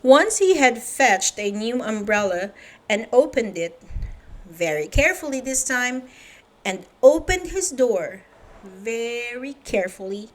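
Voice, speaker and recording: A woman's voice: fairly high pitch, big pitch swings, measured pace. A woman reading a children's story aloud, narrating and speaking directly to young listeners.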